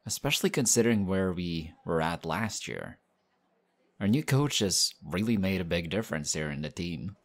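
A man voicing a friendly, modest young male team captain in an audio roleplay, talking in a relaxed, chatty way. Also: sits low, normal speed. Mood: excited, friendly.

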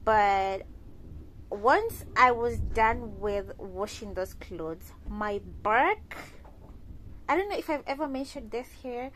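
A woman's voice: fairly high pitch, lively intonation, slow pace, fairly bright tone, a little hiss or hum, poor audio. A young woman chatting casually to her viewers while lying in bed, filming on a phone held close. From Kenya. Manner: chatty, addresses viewers as guys. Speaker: lifestyle vlogger. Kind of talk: casual vlog chat to camera.

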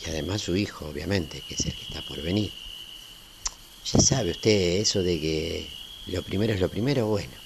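A man's voice: low-pitched, normal speed, creaky. A middle-aged man with a Rioplatense Argentine accent playing a talkative, tender-hearted small-town family man in a radio play. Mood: reassuring, homely. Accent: Rioplatense Argentine Spanish. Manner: talkative, folksy, seeking agreement. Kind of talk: amateur radio play acting. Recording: faint hiss with night crickets. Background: crickets, night ambience.

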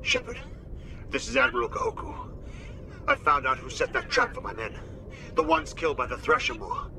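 An actor playing a tense male navy admiral who delivers a hushed, urgent recorded warning in a video game. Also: fairly high pitch, huge pitch swings, normal speed, creaky, exaggerated voice. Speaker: military officer giving a recorded warning. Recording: noisy game audio with background ambience.

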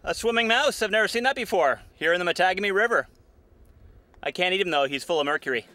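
A man in his thirties talking unscripted to a camera outdoors on a canoe trip, with a halting delivery. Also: high voice, lively intonation. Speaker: expedition filmmaker and presenter. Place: outdoors by a wild river and trail. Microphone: handheld camera at arm's length. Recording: noisy outdoor camera audio with ambient sound.

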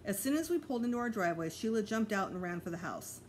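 Female storyteller, middle-aged, lively intonation, expressive read-aloud for children, slight room noise on a home recording. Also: medium pitch, quick pace. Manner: careful, expressive reading with voiced dialogue. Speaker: teacher reading aloud to pupils.

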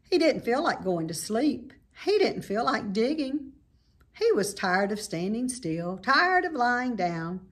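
An American woman in her fifties reading a children's book aloud in a measured, expressive way, in a small room at home. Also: a little high.

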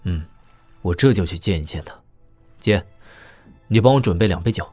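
An actor playing a young princely heir in an audio drama, wry and coolly questioning, speaking in a measured, conversational way. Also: male voice, low-pitched, lively intonation, darkish tone, no echo, soft background music. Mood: wry, resolute.

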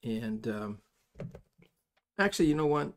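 An older man giving an informal hands-on demonstration as an instructor, in a halting, folksy, rambling way. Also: mid-range voice, big pitch swings. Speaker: soldering instructor. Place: indoors at a workbench.

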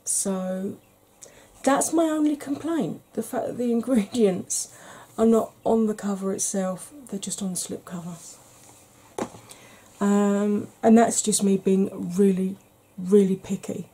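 A middle-aged woman talks in a soft, unhurried ASMR manner, chatting on about all kinds of things. Her voice is mellow and slightly husky, with a relaxed warmth. She sits close to the camera in a small room at home. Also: mid-range voice. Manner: gentle, rambling, chatty. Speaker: beauty YouTuber. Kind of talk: soft-spoken ASMR makeup chat.

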